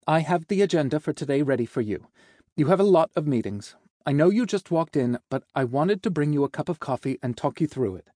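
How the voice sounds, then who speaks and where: A man's voice: a little high, lively intonation, brisk, dark tone, no room sound, studio quality, pitched above the performer's own voice. A man voicing a cheerful, efficient female secretary with a teasing, matter-of-fact manner in an audiobook. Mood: bright, eager. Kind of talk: audiobook character dialogue.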